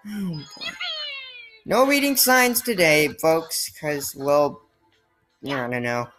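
A woman's voice: low voice, slow pace, fairly bright tone, faint music behind. A woman giving casual, sarcastic commentary over a game, reading its dialogue aloud. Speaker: gameplay commentator. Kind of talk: casual let's play commentary.

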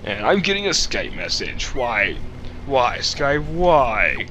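Animated, goofy young man commentating a game. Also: mid-range voice, slow pace, slight background noise. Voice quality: slightly congested, stuffy nose. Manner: rambling, goofy, jokey asides. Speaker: Let's Play host. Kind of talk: casual gameplay commentary.

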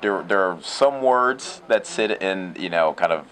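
A man in his thirties with a very low, deep voice answers interview questions in an open shed. He is relaxed and reflective and often circles back on his words with fillers. His pitch sits far below most men's. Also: a little slow, noisy background. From United States. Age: 30s. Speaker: actor. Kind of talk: casual interview. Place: open shed with concrete pillars.

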